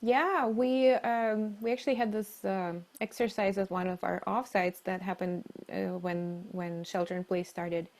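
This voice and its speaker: A woman's voice: mid-range voice, fairly even intonation, unhurried, hardly any pauses, darkish tone. A woman from Siberia with a Russian accent, answering as an interview guest in a conversational style. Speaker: interview guest, marketing leader and coach.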